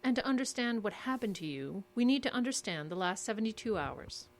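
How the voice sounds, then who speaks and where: An actress playing a controlled, secretive interrogator in an audio drama, measured and coolly insistent with clipped questions. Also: female voice, medium pitch, very animated. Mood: cool, probing.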